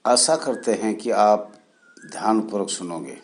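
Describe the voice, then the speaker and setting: A man from India hosting a channel, explaining history in a deliberate, halting way with short phrases and formal honorifics. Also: fairly low pitch. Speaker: channel host. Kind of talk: spoken history explainer for viewers.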